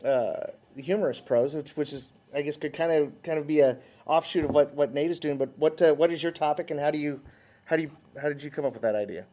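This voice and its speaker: A man hosting a casual interview, halting and repeating words as he asks friendly questions. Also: on the high side, mellow. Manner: laughs easily, friendly questioning. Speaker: host.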